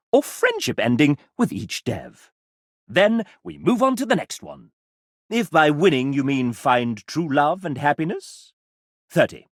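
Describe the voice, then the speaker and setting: A flamboyant video game host, fussy and sardonic, with a highish voice that swoops dramatically across a very wide range. He draws out his phrases at an unhurried pace with arch, theatrical relish. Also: dry recording, studio quality, exaggerated voice. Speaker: video game character acting as game show host.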